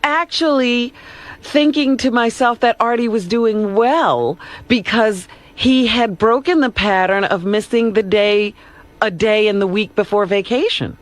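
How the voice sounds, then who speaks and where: A woman's voice: on the high side, unhurried. A woman co-hosting a radio show, chatting casually in studio banter.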